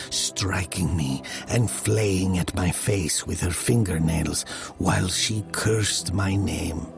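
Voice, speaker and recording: An actor playing a brooding murderer who narrates his own dark fable in a measured, dramatic manner. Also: low voice, gravelly, heavily acted. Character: brooding male village murderer recounting his dark tale.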